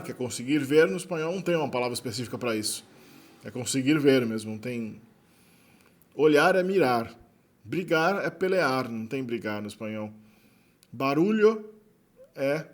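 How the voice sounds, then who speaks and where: A man with a Brazilian Portuguese accent talks through a linguistics lesson at a middle pitch. His delivery is loose and conversational, with frequent tag questions and small self-corrections as he explains. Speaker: linguistics lecturer. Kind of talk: explanatory lecture.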